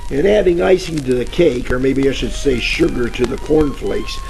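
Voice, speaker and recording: An actor playing a bumbling, boastful private detective in a parody of 1940s radio drama, telling rambling stories in hammy slang. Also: male voice, medium pitch, animated, normal speed, gravelly, poor audio. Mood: aggrieved. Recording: old-time radio with music behind.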